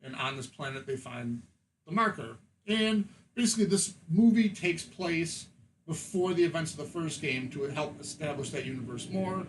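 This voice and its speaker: A man's voice: a little high, expressive, normal speed, slight background noise, rough recording. A man chatting as a podcast guest, explaining things in a casual, conversational way.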